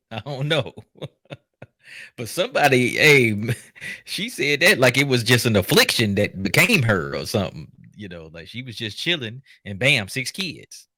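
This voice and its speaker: A man hosting a show, giving casual, unscripted commentary in an animated, joking, colloquial way. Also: medium pitch, measured pace, dry recording. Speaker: host reacting to a documentary.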